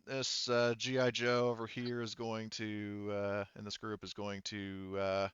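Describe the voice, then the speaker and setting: A man's voice: on the low side, monotone, unhurried, fairly bright tone, clean tone, dry recording. A man running a tabletop roleplaying game, casually narrating and making rulings as he thinks aloud, on a slightly dull microphone. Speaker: game master.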